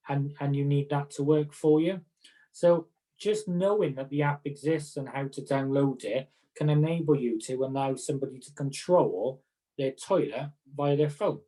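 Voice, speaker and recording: A middle-aged man with a Welsh accent and a mellow, relaxed voice at an average pitch. He talks informally, like an experienced therapist advising colleagues, explaining things chattily through everyday examples. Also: rough recording. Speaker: occupational therapist and conference speaker. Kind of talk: informal advice talk to fellow professionals.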